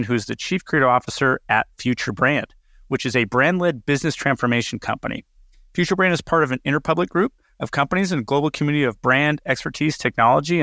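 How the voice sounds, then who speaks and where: Podcast host guy, chatty and keen, trips over his words a bit, bright dry sound. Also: medium pitch, no echo. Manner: chatty and enthusiastic, with repeated restarts. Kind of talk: casual interview hosting.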